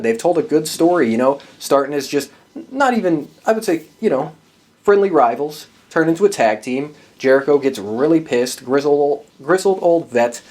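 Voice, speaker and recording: A man's voice: medium pitch, darkish tone. A man hosting a podcast, giving casual, opinionated commentary in an informal, emphatic fan's way with some swearing. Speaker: podcast host giving wrestling predictions.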